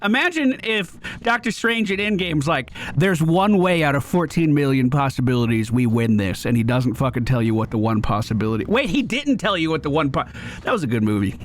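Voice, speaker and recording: A man's voice: a little high, expressive, brisk, a little hiss or hum. A man streaming gameplay with casual, sweary live commentary, often repeating short phrases. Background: loud game music.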